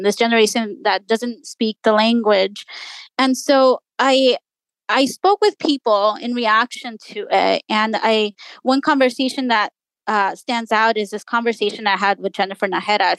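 Brief: woman journalist, Spanish native tongue, fairly high voice, earnest and searching, dry close mic. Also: clean tone, dry recording, low-quality recording. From United States. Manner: earnest, thinking aloud with many restarts. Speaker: journalist guest. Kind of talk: radio interview.

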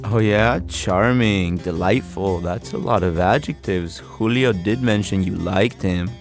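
A man's voice: low voice, measured pace, music in the background. A man co-hosting a show, narrating from a script with wry, teasing banter.

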